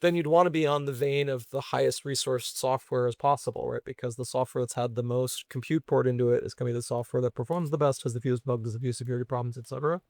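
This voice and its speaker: Fast-talking man with a creaky edge. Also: mid-range voice, steady tone, a little mellow, no room sound, studio quality. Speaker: guest, venture investor and software engineer. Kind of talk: casual technical conversation.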